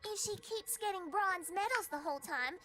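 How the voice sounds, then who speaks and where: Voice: very high voice, animated, moderate pace, bright, forceful tone, slight background noise, full character voice. A performer voicing a cheeky young pirate girl in an anime video game dub.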